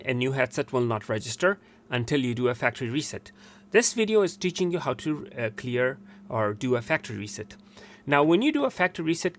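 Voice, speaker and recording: A man's voice: on the high side, a bit bright. A man presenting a step-by-step technical tutorial in an instructional way, repeating steps for emphasis.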